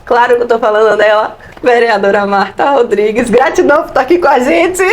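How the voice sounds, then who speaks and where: A woman hosting a community TV show from a studio. She is excitable and effusive, her voice fairly high, and she bubbles into laughter between phrases. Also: a little fast. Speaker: TV show host. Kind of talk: community TV interview.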